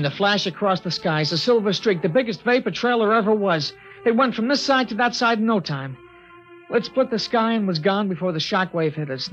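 An actor in a 1950s radio drama, playing a young man who narrates earnestly as a spaceship returns. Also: high voice. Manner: earnest first-person telling, breaks into shouting joy. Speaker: narrator and lead character. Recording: dull old radio recording with music.

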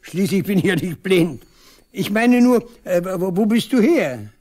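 An actor playing a proud, insistent old farm veteran in a German radio play. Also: male voice, high-pitched, animated, measured pace, darkish tone. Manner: insistent, pestering, boastful. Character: stubborn, proud old village man, collective-farm veteran.

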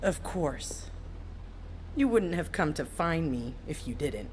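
Brooding, low-voiced female assassin, intensely expressive. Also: slow, slight background noise. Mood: bitter, defiant. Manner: brooding, dramatic, biting. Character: bitter masked female assassin, defiant and anguished. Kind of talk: dramatic video game acting.

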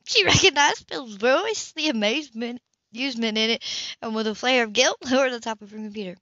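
A woman's voice: on the high side, sharp tone, no room sound. Woman reading a novel, muffled lo-fi sound. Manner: halting, misreads and corrects herself. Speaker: amateur audiobook reader. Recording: muffled lo-fi home recording.

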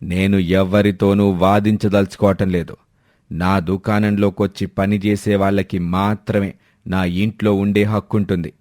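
A man voicing a stern, angry old father who scolds and commands, in an animated moral story. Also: sits low, a little slow, dry recording, studio quality. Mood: harsh.

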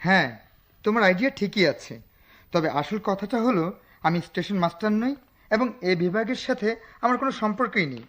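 A man's voice: sits high, brisk, fairly bright tone. A man with a Bangladeshi accent acting an enigmatic, teasing male traveller in a radio drama, in a cryptic theatrical manner. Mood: guarded, puzzled. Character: enigmatic teasing male passenger at a deserted station.

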